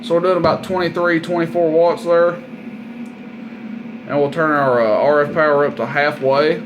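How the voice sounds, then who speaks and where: A man with a somewhat high-pitched voice narrates a hands-on equipment demo. He is informal and folksy, talking plainly like a seller showing how a thing works. The recording has a clearly audible steady noise throughout. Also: a little slow, muffled recording. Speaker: product demonstrator and seller.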